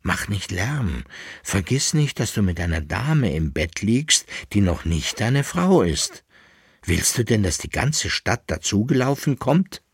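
A man's voice: fairly low pitch, big pitch swings, measured pace, breathy edge, no room sound, clean studio sound, exaggerated voice. A man voicing a resolute young noblewoman in an audiobook, speaking firmly and formally, with a commanding, secretive manner. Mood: hushed, scolding. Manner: firm, hushing, formal old-fashioned speech.

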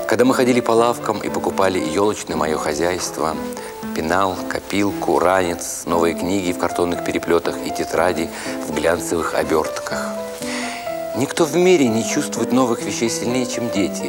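Low-voiced man narrating a literary story. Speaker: narrator, actor reading an audiobook.

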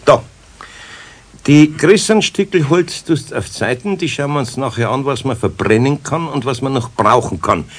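A man playing a patient, gruffly kind older carpenter with a husky voice and Bavarian accent, admonishing in a homely way in a radio play. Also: mid-range voice, normal speed, natural voice. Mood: firm, dry. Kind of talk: 1970s German children's radio play acting.

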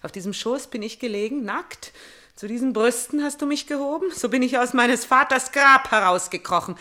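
An actress playing a haunted, vengeful young princess in a theatrical radio tragedy, declaiming intensely between hushed murmurs and outbursts. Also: female voice, fairly high pitch, moderate pace. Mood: taunting, intense.

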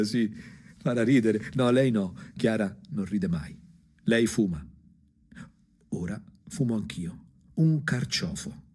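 A man delivering an ironic, theatrical radio monologue in short, punchy phrases, with a husky voice. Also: mid-range voice, darkish tone. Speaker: storyteller, actor. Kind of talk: dramatic first-person radio monologue.

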